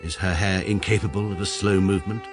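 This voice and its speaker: An actor with a British accent playing a stiff, pedantic upper-class lawyer, narrating and speaking formally and precisely. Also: male voice, low-pitched, fairly even intonation, fast, music in the background, close to an everyday voice. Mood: pompous narration. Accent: British English. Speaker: narrator and lead. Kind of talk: radio comedy drama.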